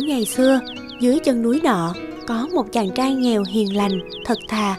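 A woman narrating an animated fairy tale as a storyteller, reading from a script. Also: fairly high pitch, close to an everyday voice.

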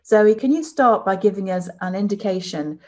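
A woman's voice: medium pitch, moderate pace, darkish tone. A woman in her forties chairing a conference panel, reading formal introductions over a video call.

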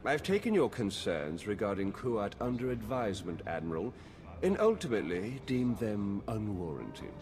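A proud, menacing dark lord speaks low and formally, with hugely swinging intonation. Loud game music sits behind him. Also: male voice, big pitch swings, moderate pace. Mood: dismissive. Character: proud, threatening male Sith lord. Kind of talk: video game villain acting.

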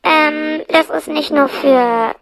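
Very high, creaky plush monster. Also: female voice, slow, full character voice, rough recording. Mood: chatty. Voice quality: creaky, strained. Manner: rambling, throat-clearing, mock-earnest asides. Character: high squeaky plush worry-eater monster, chatty, self-pitying, cheeky. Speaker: host. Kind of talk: improvised comic audio play monologue.

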